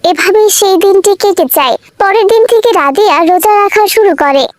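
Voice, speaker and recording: A woman's voice: very high voice, brisk, dry recording, clean studio sound. A woman reading scripted narration for a children's moral story cartoon.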